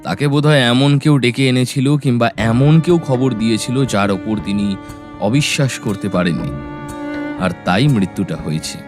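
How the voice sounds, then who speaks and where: A man acting a sharp, resolute detective in an audio drama, speaking firmly and deliberately as he reasons aloud. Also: mid-range voice, close to an everyday voice. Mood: probing, guarded. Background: background music.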